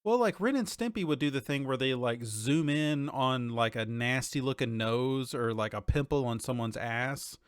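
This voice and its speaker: A man in his thirties co-hosts a casual podcast in a mid-range voice. He talks informally and conversationally, thinking out loud as he goes. The sound is very dry, with no room around it. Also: medium pitch, moderate pace, few pauses, clear, resonant tone, no room sound. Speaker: podcast host. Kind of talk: casual chat about TV and games.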